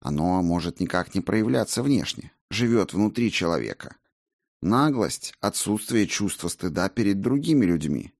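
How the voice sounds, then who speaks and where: A man reading as a low-voiced, stern monk teacher. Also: expressive, normal speed, a little mellow, dry recording, studio quality. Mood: instructive. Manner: didactic, blunt. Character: stern, scornful male Buddhist monk teacher.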